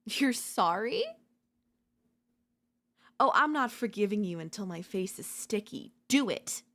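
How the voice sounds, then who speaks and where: Woman acting as unhinged yandere, female, slow deliberate pace, mocking, seductive, theatrical dark ASMR roleplay. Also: medium pitch, expressive. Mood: taunting, demanding. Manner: theatrical, taunting, swinging between intimate murmurs and intense outbursts.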